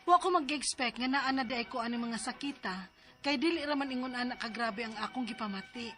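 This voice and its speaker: A woman's voice: a little high, a little fast, music in the background. A woman playing herself in a radio drama, then reading her worried letter asking for advice. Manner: worried, pleading. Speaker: letter sender and drama lead.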